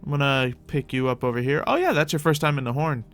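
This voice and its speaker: A man with a middle-pitched voice hosts a gaming video over background music. He talks casually and off the cuff, thinking aloud and chatting to characters in the game as he roleplays. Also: expressive, fast talker, fairly bright tone. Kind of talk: casual improvised Minecraft roleplay commentary.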